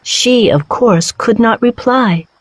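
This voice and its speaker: A woman reading a children's storybook as narrator, with measured, clearly phrased delivery. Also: a little high, dry recording.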